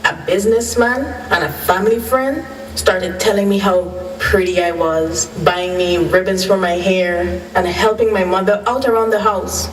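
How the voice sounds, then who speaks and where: Radio drama: composed female doctor on air, measured and earnest, recorded roomy and off-mic woman actor. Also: fairly low pitch, lightly acted. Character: composed woman doctor hosting a morning radio call-in, sharing childhood trauma. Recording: roomy, distant mic, faint noise.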